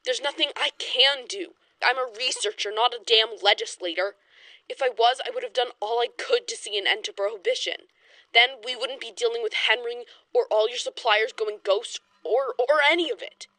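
A woman's voice: on the high side, normal speed, sharp tone, no echo. An actress playing a blunt, defiant woman researcher of the 1920s in an audio horror drama, speaking emphatically and urgently. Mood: angry, defensive.

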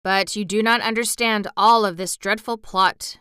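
A woman voicing a kindly, anxious emperor made of tin in a storybook, speaking formally and earnestly in a measured way. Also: medium pitch, fairly even intonation, sharp tone, clean tone, dry recording, studio quality, lightly acted. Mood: grave, earnest. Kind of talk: storybook narration character voice.

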